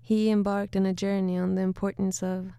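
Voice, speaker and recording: A woman from Sweden with a Swedish accent, chatting casually as a studio guest and then reading her poetry slowly and deliberately. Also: medium pitch, flat delivery, mellow, clean tone, no room sound. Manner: laughs easily, reads poetry slowly and deliberately. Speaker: guest poet. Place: radio studio.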